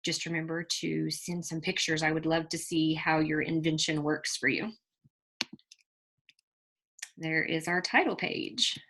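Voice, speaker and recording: A woman with a bright, forward voice reads a children's story as a teacher would. Her delivery is expressive and emphatic, with key words set out deliberately for young listeners, and she speaks to them directly. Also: medium pitch, breathy edge. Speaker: teacher reading a picture book to children.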